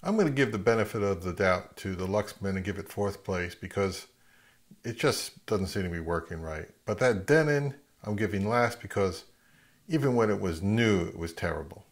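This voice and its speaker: A man giving a casual, unscripted equipment review as a video host, thinking aloud in a halting way and repeating words. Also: on the low side, expressive. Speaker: host of an audio gear video channel.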